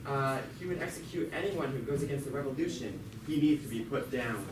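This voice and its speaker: A man's voice: medium pitch, animated, a little fast, natural voice. A man playing a talkative jailed revolutionary who narrates his story in an amateur puppet show with sardonic, stumbling asides. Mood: earnest. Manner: conversational, sardonic asides, stumbling over words. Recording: echoey, very noisy, distant mic.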